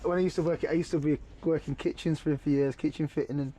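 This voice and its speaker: A man chatting casually as a podcast guest, animated and quick to slip into impressions of other voices. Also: fairly high pitch, a little hiss or hum, low-quality recording. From Cumbria, England. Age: adult.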